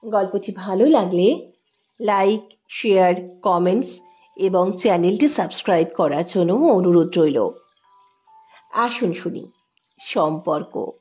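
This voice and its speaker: A woman's voice: medium pitch, slow, long pauses, mellow, dull audio, soft background music. A woman narrating an audio story as its host, in a scripted, dramatic storytelling style.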